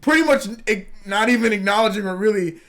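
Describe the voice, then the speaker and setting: A man co-hosting a casual podcast, talking in an animated way, swearing and laughing often. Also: high voice, fast, crowd noise. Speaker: podcast co-host. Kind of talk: casual chat.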